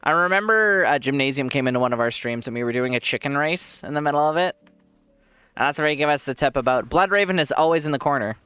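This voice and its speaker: A congested man streams his gameplay in a loose, rambling way, restarting sentences and laughing a lot. His voice sits a little high for a man and sounds bright and smooth. The recording is dry and close, with faint music underneath. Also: fast, no room sound. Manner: rambling, self-correcting, laughs often. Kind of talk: casual gameplay commentary.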